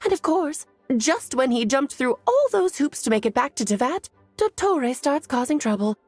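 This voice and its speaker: A woman voicing an elegant, whimsical, self-assured sorceress in an anime game, breezy, genteel and gently teasing. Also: sits high, big pitch swings, normal speed, no room sound, soft background music. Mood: wry.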